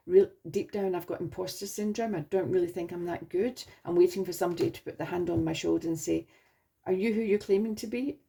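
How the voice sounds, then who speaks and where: A woman talks viewers through a tarot spread in a reassuring, rambling way, often restarting her phrases. Her voice sits low and mellow, with a gravelly, husky edge from a sore throat. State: slightly hoarse from a sore throat. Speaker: tarot reader. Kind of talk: spoken tarot reading to viewers.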